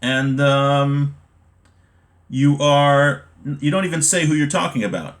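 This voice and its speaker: A man's voice: a little high, slow. An American man teaching an informal online religion class, explaining in a conversational way with everyday examples and checking in with the listener. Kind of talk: informal text study, reading aloud then explaining with examples.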